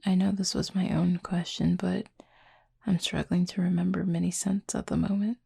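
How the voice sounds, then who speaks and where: A woman playing a thoughtful, soft-spoken cupid roommate in an intimate audio roleplay, with a breathy, husky voice and measured, gently formal delivery. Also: lowish voice, flat delivery, no room sound, studio quality, heavily acted. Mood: thoughtful, tender.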